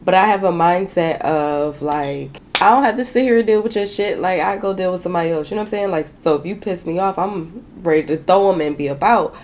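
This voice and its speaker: A young woman with a raspy, hoarse voice, chatting candidly and swearing casually as she does her makeup at home. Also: brisk, few pauses, darkish tone, a little hiss or hum. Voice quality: raspy, deep voice. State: hoarse from sinus trouble. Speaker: beauty vlogger. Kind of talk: casual chat while doing makeup. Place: small bedroom with shelves and a lamp.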